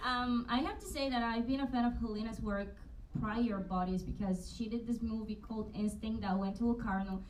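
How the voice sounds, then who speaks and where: A woman's voice: on the high side, fairly quick. An actress tells her story animatedly and thoughtfully. She is picked up from a distance, with faint noise underneath. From Bulgaria. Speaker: actor on a panel. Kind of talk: panel Q&A answers.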